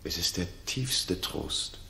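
Low-voiced, slow, grieving king. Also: lively intonation, gravelly, bright, forceful tone, a little hiss or hum, exaggerated voice. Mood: remorseful awe. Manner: grave, fervent stage declamation. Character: grieving, remorseful older king, intense and fervent. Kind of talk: 1960s German radio drama acting. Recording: roomy 1960s radio recording.

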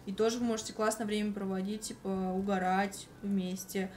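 A woman's voice: mid-range voice, flat delivery, brisk, a little mellow, a little hiss or hum. A young woman co-hosting a stream from a living room, reading chat and reacting in an upbeat way. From Russia. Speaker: stream co-host, reads chat. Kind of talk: casual chat.

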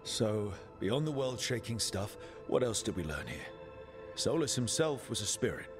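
An actor playing a steady, empathetic leader in a fantasy game, speaking in a measured, gentle way. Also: male voice, fairly low pitch, music in the background. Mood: thoughtful. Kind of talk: dramatic game cutscene dialogue.

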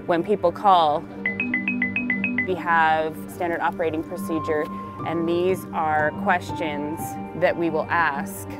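Casting: American woman, middle-aged, earnest 911 supervisor in a documentary interview, low-set voice. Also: level tone, slow pace. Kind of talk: candid documentary interview about work. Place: dispatch center office, indoors. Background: music.

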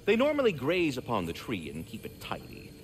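Fussy, theatrical talking squirrel. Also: male voice, medium pitch, wildly swooping pitch, brisk, slight background noise, rough recording. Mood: politely explaining. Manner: verbose, formal, fussily polite, sardonic. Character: magical talking squirrel, prim, courteous male caretaker. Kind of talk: video game character acting.

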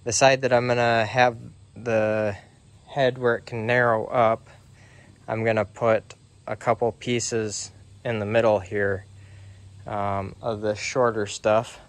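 A man casually walking through his trailer build outdoors, talking slowly with lots of ums and uhs. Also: lowish voice, no room sound. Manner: slow, relaxed, many ums and uhs. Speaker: DIY sawmill builder. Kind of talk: casual workshop walkthrough.

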